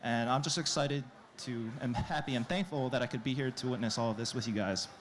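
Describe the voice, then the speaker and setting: A man from New York speaks as a presenter at a conference. His voice sits at a medium pitch, and he talks to the room in a conversational, storytelling way. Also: brisk, slight background noise. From New York, United States. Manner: conversational, anecdotal. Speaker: conference presenter, programmatic director. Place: conference stage before an audience.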